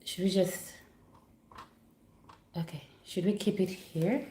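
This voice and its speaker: A woman's voice: on the low side, slow, dark tone, reverberant, a little hiss or hum. A woman from Uganda chatting casually on a livestream from her living room, apologetic and easily distracted. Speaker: vlogger hosting a livestream. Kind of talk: casual chat to a live audience. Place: home living room near a Christmas tree. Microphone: computer setup for a livestream.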